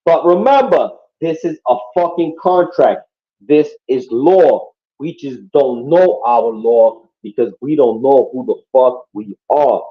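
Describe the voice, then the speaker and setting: A man's voice: medium pitch, takes it slowly. A man from Puerto Rico talking casually and emphatically on a podcast, swearing often and checking in with his listeners. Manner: profane, emphatic, keeps asking "you know what I mean". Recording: muffled lo-fi audio.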